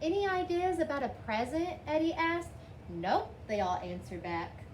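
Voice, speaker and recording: A woman's voice: high-pitched, animated, moderate pace, echoey room. A woman reading a picture book aloud expressively, lifting her voice for the children's lines. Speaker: storybook reader. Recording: faint background noise.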